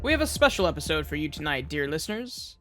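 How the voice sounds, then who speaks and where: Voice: medium pitch, big pitch swings, quick pace, music in the background, close to an everyday voice. A performer playing a mysterious late-night paranormal radio show host, speaking in a theatrical, suspenseful way. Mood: mysterious, welcoming.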